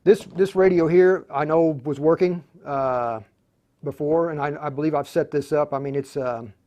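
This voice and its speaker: A man hosting an unscripted live tutorial, speaking haltingly and often muttering to himself as he works. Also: a little high, dark tone, lo-fi sound. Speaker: host of a live radio tutorial.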